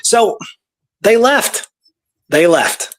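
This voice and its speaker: A man hosting a talk show, retelling a true story in a casual, animated way with repeated phrases and pauses for drama. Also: high-pitched. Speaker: podcast host telling a true historical story.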